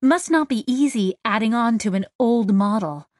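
A woman's voice: on the high side, moderate pace, dry recording, lightly acted. A reserved young woman, new to the crew and careful to be polite, as a female narrator reads her. She sounds tentative and courteous in a dry storybook recording.

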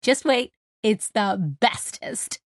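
A woman voicing a bubbly, excitable young woman mechanic with a gushing, hugely friendly manner in an audiobook story. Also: mid-range voice, slow, no room sound, studio quality. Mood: delighted. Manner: gushing, exuberant.

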